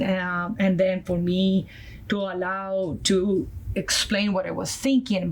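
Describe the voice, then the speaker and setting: A woman from Colombia with a Colombian Spanish accent, a Spanish native speaker, telling her story earnestly as a podcast guest, pausing often with fillers. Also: lowish voice, darkish tone, rough recording. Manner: earnest, halting, many fillers. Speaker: guest, nonprofit founder. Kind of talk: podcast interview, personal story.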